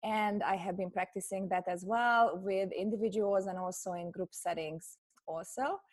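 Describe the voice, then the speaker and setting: A woman's voice: mid-range voice, moderate pace. A woman from Hungary, telling her story as a podcast guest in a chatty way with many fillers. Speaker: guest, yoga teacher. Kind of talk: podcast interview.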